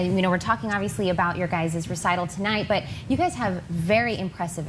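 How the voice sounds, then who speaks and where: A woman hosts a live TV chat, rattling along fast with effusive praise. Her voice sits a little low, and the broadcast audio carries steady noise. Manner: gushing, effusive praise with many fillers. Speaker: TV show host. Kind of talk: casual live TV interview. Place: TV studio.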